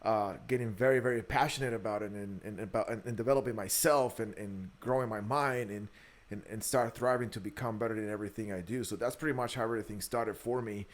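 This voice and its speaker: A man's voice: on the low side, quick pace. A man in his early thirties from Guatemala, a native Spanish speaker with a Guatemalan accent, telling his story candidly as an interview guest, often repeating words as he goes. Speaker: podcast guest, entrepreneur. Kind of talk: conversational interview, personal storytelling.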